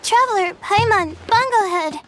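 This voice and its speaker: A woman's voice: very high voice, lively intonation, moderate pace. An actress playing a shy, sleepy young female scholar in an anime video game, speaking hesitantly. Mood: earnest.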